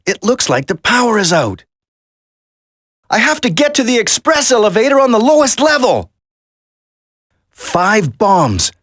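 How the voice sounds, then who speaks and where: Game hero: determined young agent, dramatic, lively intonation, unhurried delivery, close dry sound. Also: male voice, sits high, no echo, heavily acted. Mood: focused, worried. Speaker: voice actor in a video game. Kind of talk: video game dialogue acting.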